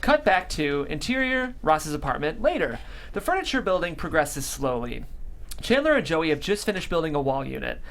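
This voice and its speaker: Animated man reading stage directions. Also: on the high side, moderate pace, vocal fry. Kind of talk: scripted narration at a table read.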